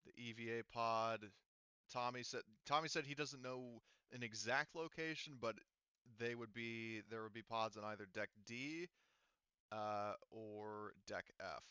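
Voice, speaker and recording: A man's voice: medium pitch, unhurried, sharp tone, smooth, dry recording, dull audio. A man running a tabletop game as game master, explaining options casually and thinking aloud with some hesitation. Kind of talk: casual tabletop game narration and rules talk.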